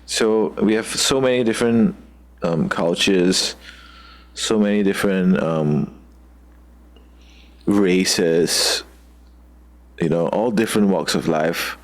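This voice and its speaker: A man from Singapore with a Singaporean English accent, chatting casually as a guest and often pausing to search for words. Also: low voice. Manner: loose and halting, searching for words with many "like" fillers. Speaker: guest musician. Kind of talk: casual video-call chat.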